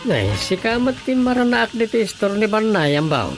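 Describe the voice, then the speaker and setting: A man's voice: high-pitched, big pitch swings, normal speed, over loud music. A man playing a know-it-all, inquisitive everyman in a radio comedy drama, explaining emphatically with an Ilocano accent. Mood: surprised, prodding. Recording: faint noise, insect ambience.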